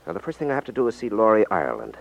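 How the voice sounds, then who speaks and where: An actor playing a wry, cocksure magician detective in a 1950s radio drama. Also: male voice, fairly low pitch, wildly swooping pitch, very fast, creaky, heavily acted. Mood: casual, assured. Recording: dull, muffled 1950s radio recording.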